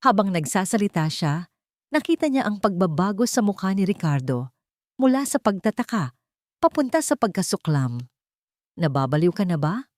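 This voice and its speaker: A woman's voice: mid-range voice, big pitch swings, moderate pace, darkish tone, no echo, studio quality. A woman reading a scripted romantic drama as a storyteller. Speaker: story narrator.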